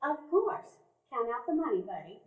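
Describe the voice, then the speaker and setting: A woman's voice: a little high, big pitch swings, moderate pace, phone-quality sound. An actress playing a shy, sweet elderly country woman who bakes, polite and eager in a live stage radio play. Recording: echoey room, live audience.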